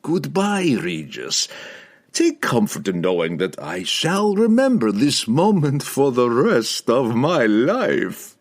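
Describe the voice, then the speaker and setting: A cruel fantasy guildmaster, acted out by a male narrator in a husky voice that swings wildly in pitch. He is imperious and volatile, dragging his words out slowly with theatrical menace, as a storybook villain commanding his underlings. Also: huge pitch swings, exaggerated voice. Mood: menacing. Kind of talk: fantasy audiobook character acting.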